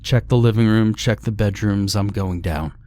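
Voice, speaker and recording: A man's voice: fairly low pitch, moderate pace, warm tone, a little hiss or hum, natural voice. A man playing a panicking, protective father who gives urgent commands in a horror story. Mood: urgent commanding.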